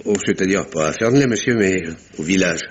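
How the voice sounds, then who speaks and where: An actor playing a courteous, formal village doctor who narrates and talks in a radio mystery with literary theatrical delivery. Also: male voice, low-pitched, expressive, a little fast, lo-fi sound, slight background noise. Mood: hesitant, polite.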